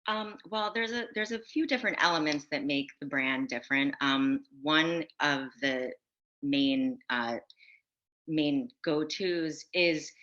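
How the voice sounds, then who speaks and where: A woman on a video-call panel, answering in a halting, casual way with many restarts and fillers. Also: sits low, fairly bright tone. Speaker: brand founder on a panel. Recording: dull lo-fi audio.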